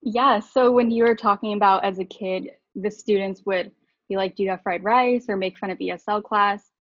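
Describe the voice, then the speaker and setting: A woman's voice: medium pitch, steady tone, normal speed, no echo. A woman co-hosting a podcast, talking candidly and reflectively, with frequent laughs. She grew up in Southern California speaking Mandarin and English. Her voice sounds dry and close, with a slightly dull, lo-fi tone. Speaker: podcast co-host. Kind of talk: casual conversation.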